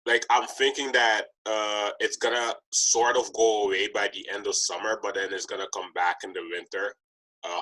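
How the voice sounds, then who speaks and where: Low-voiced man with halting podcast delivery. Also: bright, forceful tone, rough recording. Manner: hesitant, repetitive, halting delivery. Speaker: podcast host interviewing a guest. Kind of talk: casual interview chat.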